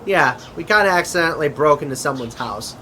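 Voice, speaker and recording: A man acting a chatty interdimensional adventurer in a conversational audio drama, with a halting, hesitant delivery. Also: a little high, lively intonation, sharp tone, slight background noise. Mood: awkward, explaining.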